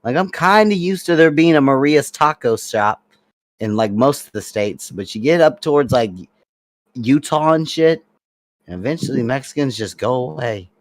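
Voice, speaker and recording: A man's voice: mid-range voice, lively intonation, moderate pace, no room sound. Rambling young guy, dry close mic. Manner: laid-back, rambling, laughs often. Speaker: streamer. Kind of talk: casual group chat.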